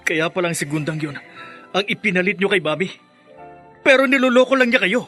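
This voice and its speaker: A man's voice: high-pitched, a little fast, sharp tone, faint music behind. A man acting a bitter, spoiled grown child with a scornful, theatrical delivery in radio melodrama. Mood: shocked, disbelieving.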